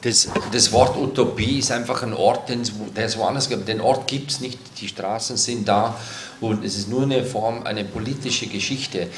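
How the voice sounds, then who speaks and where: A man's voice: on the low side, a little hiss or hum. A man on a panel speaking in a rambling way, with many fillers and tag questions. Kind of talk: panel discussion. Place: hall at a public event. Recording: roomy hall.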